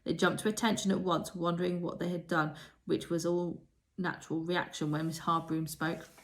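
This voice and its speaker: A woman reading a children's story aloud as an expressive narrator. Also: fairly low pitch, normal speed. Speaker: storybook reader.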